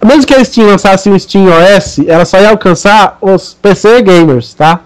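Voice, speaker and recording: A man with a Brazilian Portuguese accent and a notably high voice co-hosts a casual gaming podcast. He talks emphatically and lectures his listeners. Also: moderate pace, clean tone, poor audio. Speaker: podcast co-host.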